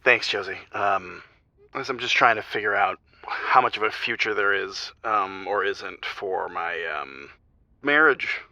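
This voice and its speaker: Grieving husband calling an advice show, gravelly, halting and trailing off, kinda lo-fi. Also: male voice, lowish voice, lively intonation, bright, forceful tone, dry recording, full character voice. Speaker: caller. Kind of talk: confessional advice-show call.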